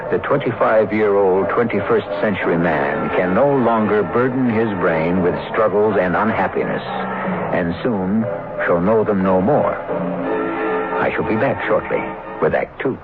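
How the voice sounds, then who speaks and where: A man's voice: fairly low pitch, animated, unhurried, narrow, tinny audio. A man hosting and narrating a radio drama in a theatrical, solemn scripted style. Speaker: host and narrator. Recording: old radio, thin, music behind.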